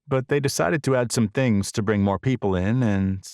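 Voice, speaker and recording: A man's voice: fairly low pitch, moderate pace, a little mellow, clean tone, dry recording, clean studio sound, close to an everyday voice. A man voicing a confident, ambitious businessman of about thirty in a romance audiobook, easygoing and reassuring.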